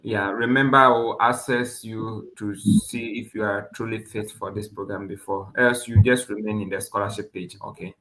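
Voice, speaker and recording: A man's voice: fairly low pitch, steady tone, moderate pace, hardly any pauses, a bit bright. A man hosting an online webinar, restarting phrases as he puts questions to his guest. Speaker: webinar host, mentor. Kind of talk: informal interviewing and hosting. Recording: echoey room, noisy online call, clicks.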